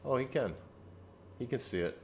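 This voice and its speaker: A man giving relaxed live commentary on a pool match, explaining each shot and joking dryly. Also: medium pitch, moderate pace, a little hiss or hum.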